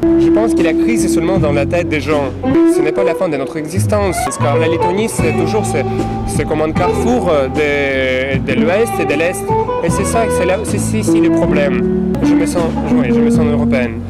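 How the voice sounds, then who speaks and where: A young man whose first language is Russian speaks in a slightly raised voice, pausing often to search for words. His answers sound like a documentary interview, with clear music playing underneath. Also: on the high side, poor audio. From Latvia. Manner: halting, searching for words with many fillers. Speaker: recent graduate. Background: background music.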